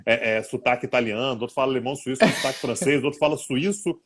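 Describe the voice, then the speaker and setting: Joking husky-voiced man, mid pitch. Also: fast talker, fairly bright tone. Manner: joking, teasing, swears casually. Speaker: podcast co-host. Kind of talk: casual live-stream chat. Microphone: streaming live on social media.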